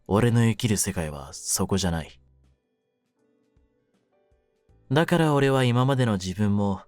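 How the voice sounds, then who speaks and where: A man playing a jaded, domineering yet secretly tender young mafia kidnapper in an audio drama, speaking low in a rough, cool, deliberate way. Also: no room sound. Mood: bitter, brooding. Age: young adult. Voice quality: low-pitched. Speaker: voice actor in a situation voice drama. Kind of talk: Japanese situation-voice audio drama addressed to the listener.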